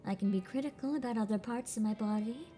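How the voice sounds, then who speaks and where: A woman voicing a vain, self-assured young woman in a low, soft, measured voice, her throat congested from screaming. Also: over loud music, lightly acted. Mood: musing.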